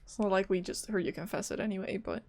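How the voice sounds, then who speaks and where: A woman's voice: mid-range voice, quick pace, creaky, darkish tone. A woman streaming a game, giving casual, exclamatory reactions to the story. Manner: reactive, blurts short exclamations. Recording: game music behind.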